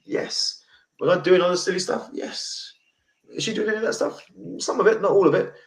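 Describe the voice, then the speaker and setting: A middle-aged man with a deep voice hosts a casual livestream talk, blunt, emotional and rambling. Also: fairly quick. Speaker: host. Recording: echoey room.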